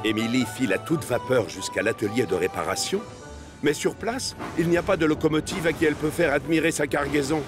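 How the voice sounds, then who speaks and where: A man narrating a children's cartoon story from a script, as an expressive storyteller. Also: fairly high pitch, brisk. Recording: music behind the voice.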